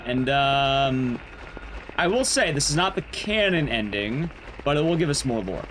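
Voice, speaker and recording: A man's voice: medium pitch, big pitch swings, measured pace, noisy background. A man speedrunning a game and giving casual commentary, explaining things in a rambling, playful way. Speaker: speedrunner, streamer. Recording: game music behind the voice.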